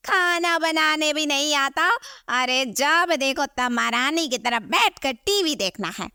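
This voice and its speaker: महिला की अदाकारी में तीखी आवाज़ वाली झिड़कती सास. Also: female voice, high voice, expressive, a little slow, pushed and bright, no room sound, studio quality, exaggerated voice. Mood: exasperated. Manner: nagging, theatrical. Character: scolding, exasperated mother-in-law of the household. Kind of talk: Hindi animated moral story voice acting.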